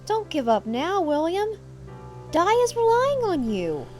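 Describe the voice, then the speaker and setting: A woman's voice: very high voice, very animated, unhurried, soft background music, exaggerated voice. A woman playing a railway owner's caring, steadfast wife in an audio drama, speaking firmly and encouragingly. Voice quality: firm and encouraging.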